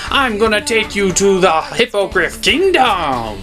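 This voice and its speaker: A man's voice: sits high, big pitch swings, a little slow, bright, forceful tone, faint music behind, pitched above the performer's own voice, full character voice. A man voicing a blustering but easily won-over pirate captain with a stage-pirate accent.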